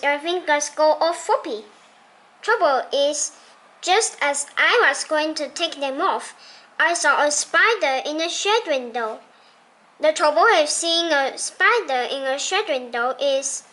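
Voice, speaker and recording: Female children's book reader, extremely high and bright, slow and expressive delivery. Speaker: storybook reader. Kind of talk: expressive children's story read-aloud.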